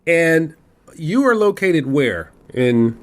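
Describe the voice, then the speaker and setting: A man's voice: fairly high pitch, big pitch swings, slow. A man hosting a podcast interview, chatty and openly admiring of his guest. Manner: admiring, chatty, laughs easily. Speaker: podcast host.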